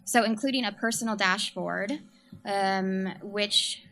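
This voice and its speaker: Young woman, quiet voice, hesitant pitch, frequent fillers, middle pitch, faint background hiss. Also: slow pace. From San Francisco. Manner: hesitant, many ums and uhs. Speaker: startup communications manager. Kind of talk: nervous startup pitch presentation.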